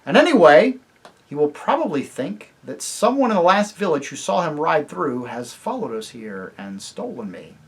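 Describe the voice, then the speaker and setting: A man voicing a proud, blunt talking horse in a storybook reading, speaking emphatically with a husky voice. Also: fairly high pitch, big pitch swings, moderate pace, full character voice. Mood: scornful. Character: proud talking male warhorse, blunt and scornful.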